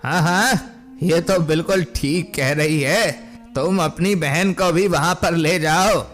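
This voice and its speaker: A man's voice: a little high, takes it slowly, a bit bright, soft background music. A man voicing an incredulous village man in a cartoon story, questioning in a puzzled way. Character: questioning village men, including the village headman.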